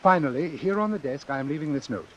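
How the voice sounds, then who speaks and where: An actor playing a cold, calculating doctor in a vintage suspense radio drama, speaking in a formal, precise, measured way. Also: male voice, a little high, lively intonation, a bit bright, poor audio. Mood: calm, persuasive. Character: cold, calculating male doctor obsessed with his experiment. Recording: hissy old radio recording.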